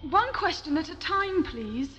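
Actress as tough young female adventurer, sardonic, animated pitch, sci-fi audio drama. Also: unhurried, highs cut off, full character voice. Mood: dry. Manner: blunt, sardonic. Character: hard-headed, sharp-tongued young female space adventurer. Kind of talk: science-fiction audio drama. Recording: music behind the dialogue.

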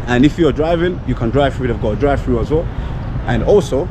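A man's voice: medium pitch, expressive, fairly quick. A young man with a London accent, talking casually to camera as a travel vlogger walking city streets. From London, England. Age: young adult. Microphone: handheld camera at arm's length. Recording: outdoor street with clear traffic noise. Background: street and car noise.

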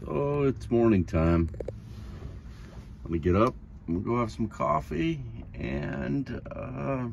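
A man's voice: medium pitch, slow, gravelly, pauses often, darkish tone, lo-fi sound. A middle-aged man with an American accent talks casually to camera in a plain, repetitive way, explaining things as a vlogger. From California, United States. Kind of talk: casual vlog narration to camera. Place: camper van and parking lots. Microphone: phone at arm's length. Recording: noisy handheld recording with vehicle and outdoor ambience.

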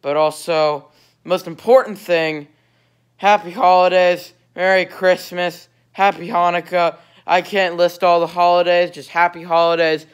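A man's voice: sits high, level tone, unhurried, clean tone. A teenage boy with a slight cold, casually rambling through a vlog outro with many fillers, filmed on a phone at arm's length indoors. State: stuffy from a cold. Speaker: youtuber. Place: indoor living room at night. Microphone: phone held low at arm's length.

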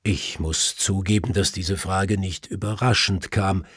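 A man narrating a detective audiobook in a measured storytelling manner. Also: low voice, steady tone, husky, dry recording, clean studio sound.

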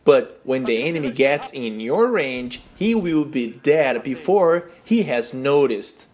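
A man's voice: medium pitch, expressive, slow pace, a little mellow. A young man from Brazil with a Brazilian Portuguese accent, a non-native English speaker narrating a game tips tutorial in an earnest, simple instructional way. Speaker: gaming channel host.

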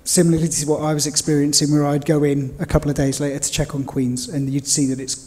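Flat-toned voice in an echoey hall. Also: male voice, on the high side, fast.

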